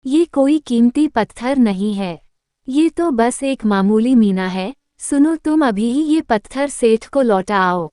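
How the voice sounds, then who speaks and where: Sensible cartoon village wife female actor, assured and firm, crisp voice, dry studio-close sound. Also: fairly high pitch, lively intonation, slow, dark tone, no echo. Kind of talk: Hindi cartoon story dialogue.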